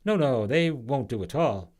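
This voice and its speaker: A man's voice: mid-range voice, animated, moderate pace, darkish tone, voice pushed up, lightly acted. A man voicing an imperious, eccentric spirit woman in a storybook, with a bossy, fussy manner. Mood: insistent, fussy.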